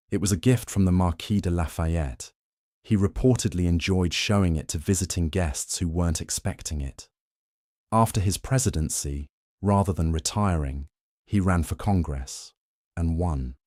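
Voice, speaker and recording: Male bedtime narrator: deep, warm-toned, unhurried and even, dry booth sound. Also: no room sound. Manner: slow, measured and soothing. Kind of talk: calm scripted bedtime narration.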